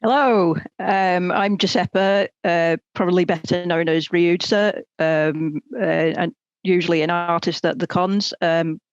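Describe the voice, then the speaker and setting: A man who works as an event artist, introducing himself in a friendly, halting way on a video call. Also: sits high, fairly even intonation, smooth, dry recording, muffled recording. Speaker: con artist.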